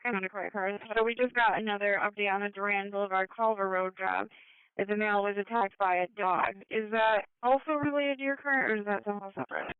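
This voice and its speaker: A woman's voice: medium pitch, fairly even intonation, fast, clean tone, no echo, narrow, tinny audio. A woman working as an emergency dispatcher, relaying calls over a two-way radio on a scanner feed. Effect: two-way radio transmission. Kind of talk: police and EMS radio traffic.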